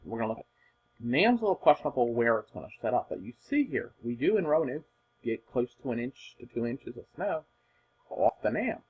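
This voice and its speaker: Husky man, very animated weather commentary. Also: mid-range voice, fairly quick, lo-fi sound. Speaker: amateur weather forecaster. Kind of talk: informal weather model commentary, unscripted.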